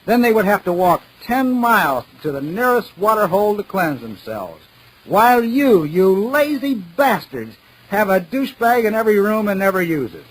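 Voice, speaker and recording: Man playing a high-pitched, pompous old-time radio announcer. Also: very animated, normal speed, low-quality recording. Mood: mock-solemn. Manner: pompous, oratorical, mock-serious. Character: mock-pompous male radio announcer giving a solemn bawdy speech. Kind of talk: 1940s comedy radio announcing, parody oratory. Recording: old 78rpm party record.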